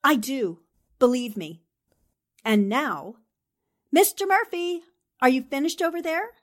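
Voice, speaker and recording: Woman acting animated, commanding newspaperwoman sleuth. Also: high voice, measured pace. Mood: firm, persuasive. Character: brisk, sharp, determined newspaperwoman sleuth. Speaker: actor in an amateur radio drama re-creation. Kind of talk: 1940s radio acting.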